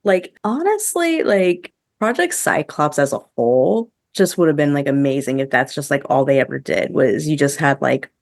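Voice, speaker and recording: A woman's voice: low-pitched, expressive, normal speed, vocal fry, hardly any pauses, no room sound, studio quality. A woman podcast host chatting casually and giving her opinions, with a lot of "like" thrown in. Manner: chatty, heavy use of "like", opinionated. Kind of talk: casual review chat among co-hosts.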